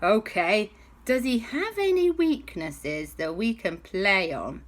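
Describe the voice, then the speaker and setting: A woman voicing a sensible aunt who asks calm, careful questions. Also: medium pitch, slow. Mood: concerned. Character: sensible aunt leading a team.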